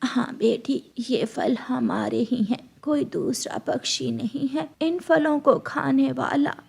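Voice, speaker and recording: A woman voicing a kindly old mother bird in a cartoon story, speaking softly and gently with a breathy voice. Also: high-pitched, takes it slowly, exaggerated voice. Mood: motherly.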